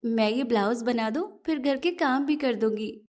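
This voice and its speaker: A woman's voice: on the high side, normal speed, a little mellow, lightly acted. A woman playing an earnest, polite young seamstress in a narrated moral story. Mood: pleading. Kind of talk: dramatized moral story acting.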